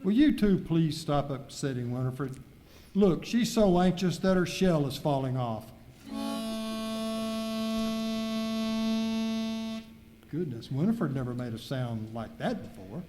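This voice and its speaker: A man's voice: medium pitch, expressive, moderate pace, lightly acted. A man playing a fretful, fussy western outlaw who dotes on a pet, in an amateur live radio skit in an echoey, noisy hall. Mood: fussy, anxious. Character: fretful outlaw doting on his pet armadillo.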